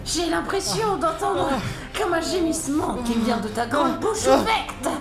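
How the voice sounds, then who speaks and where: A woman's voice: extremely high pitch, sing-song, unhurried, reverberant, music in the background, heavily acted. A woman playing a sadistic military interrogator in an audio drama, gloating, taunting and cruel with mock-polite menace. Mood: sadistic glee.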